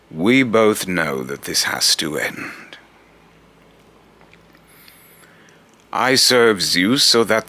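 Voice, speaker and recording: A man voicing a menacing, scornful god of fear as a video game villain, pompous and theatrical, with a very deep, gravelly voice. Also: sharp tone, heavily acted. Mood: domineering.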